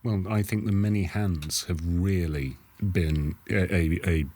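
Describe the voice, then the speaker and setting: A man speaking reflectively as a writer in a documentary interview, halting and repeating words as he goes. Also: low-pitched, a little mellow. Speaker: writer, creator of the character.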